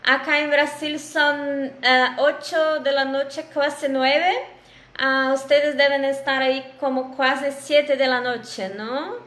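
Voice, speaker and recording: A Brazilian woman with a Brazilian Portuguese accent speaking Spanish as a second language, greeting an online audience as a guest lecturer, halting with many fillers and restarts, over a video call. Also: high-pitched, little pitch movement, a bit bright, reverberant.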